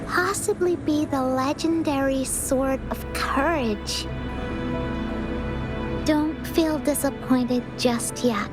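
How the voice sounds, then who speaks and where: High-voiced, slow-spoken timid young child. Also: female voice. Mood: earnest reading. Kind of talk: video game voice acting. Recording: music behind the voice.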